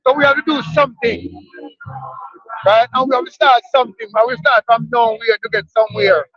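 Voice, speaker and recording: A man's voice: extremely high pitch, fairly even intonation, brisk, no echo, faint music behind. A middle-aged man with a Jamaican accent, a protester interviewed outdoors, emphatic and repeating himself, often asking "right?". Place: outdoors on a wet street. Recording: dull lo-fi outdoor recording.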